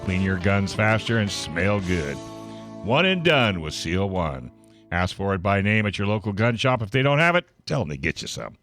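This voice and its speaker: A man's voice: sits low, sing-song, normal speed, over music. A man working as a radio host, speaking in a casual, conversational on-air style. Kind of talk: casual radio chat and sponsor reads.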